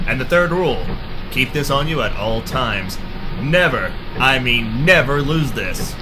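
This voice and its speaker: An actor playing a self-important, callous pulp space hero in a retro radio-serial parody, speaking dramatically. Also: male voice, medium pitch, expressive, unhurried, over music, close to an everyday voice, low-quality recording. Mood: pompous, bossy.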